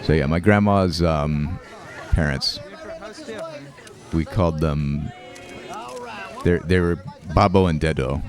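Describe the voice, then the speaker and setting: Guy with a super deep voice hosting, kinda halting and musing, lots of ums, crowd noise under it. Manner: halting and reflective, with frequent fillers, restarts and soft laughs. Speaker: host. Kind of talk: casual commentary over home movies.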